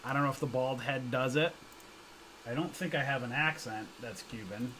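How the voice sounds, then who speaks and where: A man's voice: mid-range voice, moderate pace, fairly bright tone, slight background noise, rough recording. A man hosting a stock trading livestream in casual, joking chat, reading out viewer comments and reacting loosely with slang.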